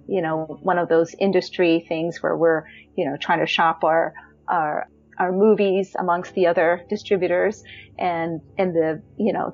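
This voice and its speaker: A woman in her thirties with a high voice, reflectively telling her life story in a chatty way, full of fillers and restarts. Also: muffled recording. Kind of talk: personal storytelling.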